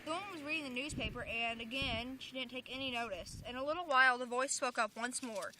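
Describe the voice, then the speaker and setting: A woman's voice: high voice, quick pace, bright, forceful tone, a little hiss or hum, rough recording. A woman reading stories aloud in an informal way, slipping into chatty asides.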